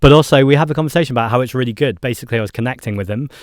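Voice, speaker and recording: A man's voice: mid-range voice, clear, resonant tone, no echo, studio quality. A man from Manchester with a Mancunian accent, a guest on a podcast talking fluently and animatedly in casual conversation. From Manchester, England. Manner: fast, fluent, animated with laughs and asides. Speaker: guest politician.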